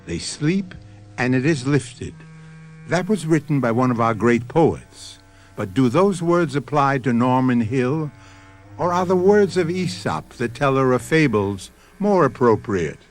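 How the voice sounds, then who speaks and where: A male host of a radio mystery series giving solemn, measured scripted narration. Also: mid-range voice.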